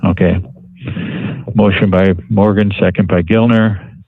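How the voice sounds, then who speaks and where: A man runs a board meeting by the book, with set phrases for motions and votes. His voice sits a little low. The sound is dry and slightly dull. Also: steady tone, unhurried, no echo. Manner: procedural, formulaic calls for motions and votes. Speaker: board chairman running the meeting. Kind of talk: formal parliamentary procedure.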